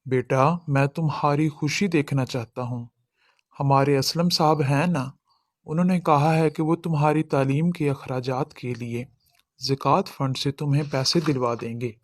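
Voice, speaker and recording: A man's voice: on the high side, steady tone, unhurried, dry recording. A man playing a poor, loving middle-aged village father in a children's moral story, speaking earnestly. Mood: caring.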